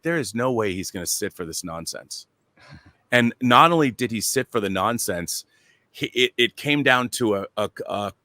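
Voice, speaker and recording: A man telling anecdotes in a casual, excitable and emphatic way on a pop culture talk show. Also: fairly low pitch, dry recording.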